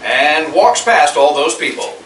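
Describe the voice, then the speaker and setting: A man's voice: a little high, animated, measured pace, fairly bright tone. A man reading stage directions as narrator at a live screenplay table read. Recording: distant mic in noisy, echoey room.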